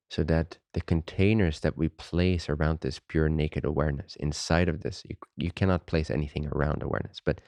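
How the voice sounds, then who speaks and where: A man leading a guided meditation as a teacher, unhurried and informal, speaking in short phrases with pauses between them. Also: rumbling low, mellow, dry recording.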